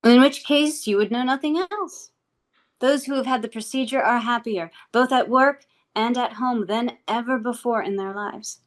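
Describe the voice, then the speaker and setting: A woman playing a cool, controlled, persuasive corporate boss in a table read, speaking in measured, deliberate speeches. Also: fairly high pitch, lightly acted. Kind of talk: live table read of a TV script.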